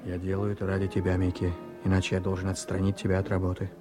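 An actor in a Russian radio play voicing an embattled, blustering politician who is desperate and scheming, speaking emphatically and commandingly. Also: male voice, low voice, completely flat delivery, fast talker, music in the background, natural voice. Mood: urgent, commanding.

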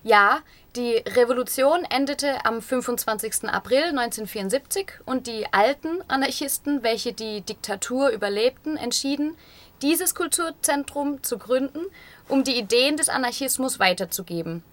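A woman's voice: high-pitched, lively intonation, normal speed, fairly bright tone. A woman reading out translated interview answers as a radio voice-over.

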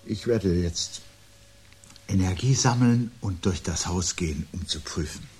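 An actor playing a weary, sardonic husband in a 1970s German radio drama, speaking deliberately and rhetorically. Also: male voice, low voice, a little mellow, a little hiss or hum. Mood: weary resignation. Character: weary, bitter, sardonic husband awaiting catastrophe.

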